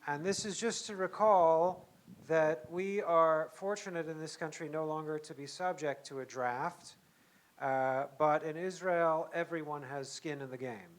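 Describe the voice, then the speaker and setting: A man hosting an event, thanking a guest and formally introducing the next speaker. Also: on the high side. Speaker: event host.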